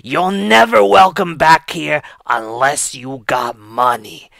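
A man playing a vengeful, fed-up landlord in a comedic audio drama, scolding with menacing, drawn-out emphasis. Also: a little high, vocal fry, a bit bright, no echo, clean studio sound, exaggerated voice. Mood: angry, vengeful. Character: vengeful, fed-up male landlord evicting tenants.

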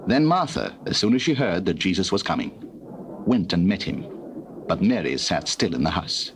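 A British man narrates a scripture drama in a measured, solemn manner. His voice is low and richly varied in pitch, with faint cassette hiss. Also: sing-song. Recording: hissy old cassette recording.

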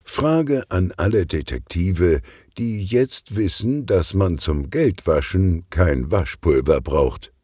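A man narrating a scripted children's audiobook in an expressive, deliberate storytelling manner. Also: low voice, breathy edge, dry recording.

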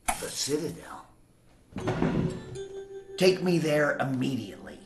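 An actor playing an imperious, cruel, short-tempered warlord in an audio drama, sneering and commanding. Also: male voice, mid-range voice, big pitch swings, takes it slowly, gravelly, full character voice. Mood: cold, irritated. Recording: echoey room with music behind.

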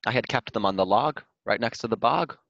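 A man's voice: lowish voice, brisk, vocal fry, a bit bright, no room sound, muffled recording, voice pushed up. Man playing an earnest, muddled storybook hedgehog. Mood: hopeful, polite. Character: muddled, polite, near-sighted male hedgehog.

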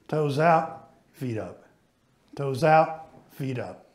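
A man's voice: medium pitch, animated, extremely slow. Patient man coaching ski exercises. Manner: patient, explanatory, talks while moving. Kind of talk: exercise demonstration and explanation.

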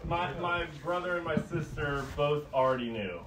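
A man in his late thirties, an audience member answering a comedian, in a calm, matter-of-fact, understated way. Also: a little high, normal speed. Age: 30s. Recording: distant voice in a roomy club with crowd.

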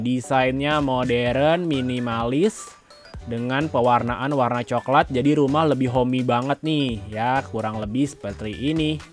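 A man, giving a casual, chatty house-tour narration and addressing viewers directly. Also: medium pitch. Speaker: property tour host. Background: background music.

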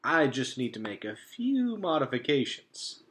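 A man voicing a jolly, confident male beaver handyman in a storybook reading, booming and slow. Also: big pitch swings, fairly bright tone, slight background noise. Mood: hearty.

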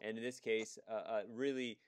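A man's voice: lowish voice, level tone, normal speed, clear, resonant tone. A man hosting an interview podcast as an immigration attorney, talking casually with many fillers and restarts. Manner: conversational, with frequent fillers and restarts.

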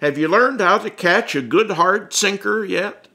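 A man's voice: sits high, a little slow, pushed and bright, voice pushed up, heavily acted. A man voicing a brash, teasing middle-aged celebrity with a breezy, swaggering manner in an audiobook. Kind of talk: audiobook character dialogue.

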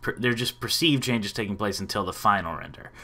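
A man in his thirties hosting a casual software tutorial. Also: lowish voice, a little fast. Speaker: tutorial host.

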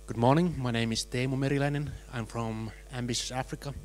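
A young man introducing his organization at a workshop in a crisp, businesslike manner, into a handheld mic. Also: mid-range voice, steady tone, quick pace, a little hiss or hum. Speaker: organization representative. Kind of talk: self-introduction. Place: meeting room.

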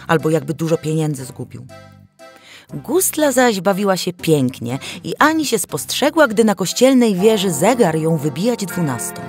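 A woman narrating a folk tale as a storyteller, with an animated, dramatic delivery. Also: deep voice.

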